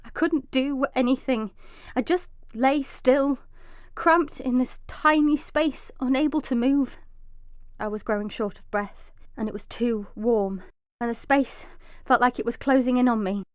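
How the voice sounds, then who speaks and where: An actress playing a desperate, vengeful mother trapped underground, narrating intensely in a breathy first-person radio drama monologue. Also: sits high, a little slow. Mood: weak, fearful. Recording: echoey, slightly dull recording.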